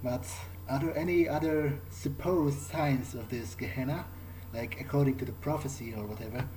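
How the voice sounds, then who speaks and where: A man roleplaying in character as he plays a video game, thinking aloud in halting, musing phrases. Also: mid-range voice, warm tone, slight background noise, poor audio. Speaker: gameplay streamer playing in character. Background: game music.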